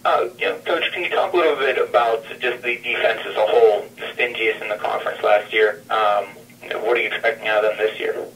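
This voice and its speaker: A man's voice: fairly low pitch, fairly quick, a bit bright, far from the mic, slight background noise. A man asking questions as a reporter on a press conference call, over a telephone line.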